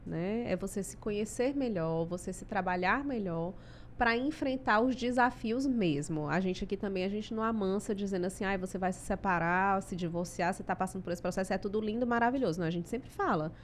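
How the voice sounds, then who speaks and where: A woman's voice: medium pitch, fairly quick, slight background noise. A woman hosting a podcast interview, speaking in a friendly, upbeat way from a cold studio room. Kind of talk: casual podcast chat.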